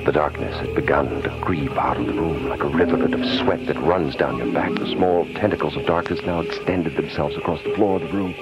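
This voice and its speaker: Deep, ominous male horror narrator. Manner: ominous, theatrical, deliberate. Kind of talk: dramatic horror radio narration. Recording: muffled 1970s radio broadcast with loud music.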